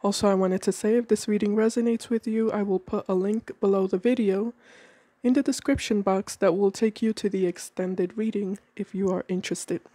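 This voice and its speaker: A woman with a cold reads tarot cards at a table indoors, her tone mellow and dark. She talks calmly and measuredly, slowing down as she names each card. The sound is dry and close, with little room in it. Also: mid-range voice, no room sound. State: has a cold. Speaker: tarot reader. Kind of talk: tarot reading monologue.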